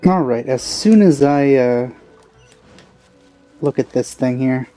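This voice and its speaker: Mellow-voiced man rambling over a game. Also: medium pitch, slow pace. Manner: musing, rambling asides. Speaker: let's play host. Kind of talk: casual game commentary. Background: faint game music.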